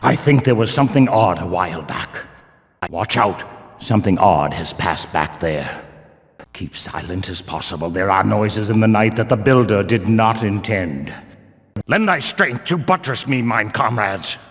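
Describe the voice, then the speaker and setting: An actor playing a stern, zealous religious warrior guard in a fantasy video game, speaking in pious, archaic, declamatory phrases. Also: male voice, on the low side, lively intonation, a little slow. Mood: wary. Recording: long reverberant hall echo.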